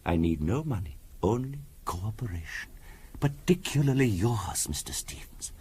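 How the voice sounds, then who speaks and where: An actor playing an earnest, formal spiritualist medium in a 1970s radio drama, measured and insistent in delivery. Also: male voice, on the low side, sing-song, husky, exaggerated voice. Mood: stern, earnest. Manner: measured, formal, insistent, sometimes hushed and hypnotic.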